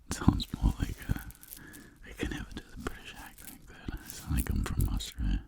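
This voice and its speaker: A man voicing a boastful, roguish tingle-dealing world traveler in a whispered ASMR roleplay, telling rambling deadpan tales in a breathy, gravelly voice. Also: a bass voice, normal speed, no room sound, full character voice. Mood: boastful, playful.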